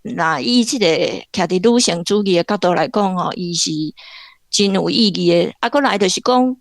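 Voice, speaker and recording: A woman's voice: medium pitch, moderate pace, no echo, studio quality. A woman with a Taiwanese accent giving informal literary commentary as a guest professor, explaining point by point.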